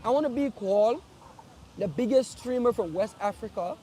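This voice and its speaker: A man's voice: very high voice, animated, moderate pace, mellow, slight background noise. A young man from Liberia, speaking earnestly to his followers in a casual live address, often repeating and restarting phrases. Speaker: streamer.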